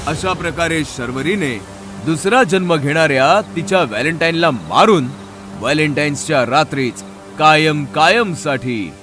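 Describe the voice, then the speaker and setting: A man's voice: fairly high pitch, animated, moderate pace, a bit bright, faint music behind. A man reading scripted narration as the storyteller of a horror tale.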